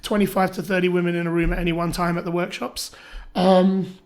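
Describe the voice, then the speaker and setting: A man with a cold, his voice pitched higher than usual, talking as a dating coach in a casual podcast chat, firing off rhetorical questions and repeating words as he starts. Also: completely flat delivery, a little fast. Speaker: dating coach and workshop runner.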